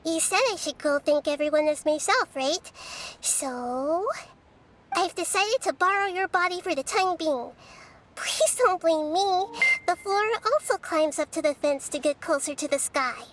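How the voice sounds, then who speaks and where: A woman voicing a wise, gentle young girl deity in a video game, measured, polite and thoughtful. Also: very high voice, slight background noise. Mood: gentle, musing. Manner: measured, polite, musing in plant similes.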